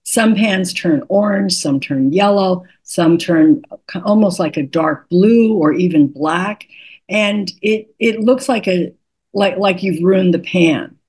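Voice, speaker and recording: An older woman, a cookbook author, patiently explaining cooking tips in an interview, her voice slightly raspy today. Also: on the low side, slow pace, darkish tone. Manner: patient, instructive, methodical.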